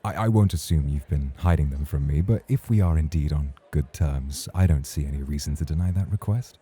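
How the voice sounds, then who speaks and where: Man voicing a very deep, suave club host. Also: a little fast, mellow, slightly rough. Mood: teasing, polite. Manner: formal, courtly, teasing. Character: suave, teasing champion male club host, smoothly charming. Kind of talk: ASMR romantic roleplay.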